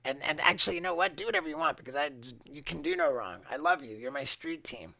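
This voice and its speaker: Bright-voiced excitable male podcast host. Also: fairly high pitch, fast, vocal fry, no room sound. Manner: excitable, swearing, stammering restarts. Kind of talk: casual chat. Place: small cruise ship cabin, then home studio. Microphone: handheld dynamic mic, then desk podcast mic.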